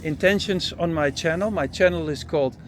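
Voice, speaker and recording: Male Dutch-accented travel host, talkative and jokey, slightly high voice, some outdoor noise. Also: fairly quick. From Netherlands. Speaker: travel vlogger and interviewer. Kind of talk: casual street chat. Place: outdoors by parked camper vans.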